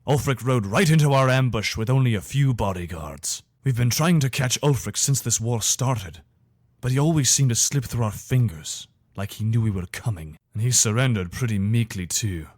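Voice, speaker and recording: A man's voice: medium pitch, moderate pace, dry recording, clean studio sound, close to an everyday voice. A man playing a loyal, weary imperial soldier in a fantasy video game, speaking in an earnest, conversational, soldierly way. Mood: explanatory, sober. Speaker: voice actor for a game character. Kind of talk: video game character dialogue.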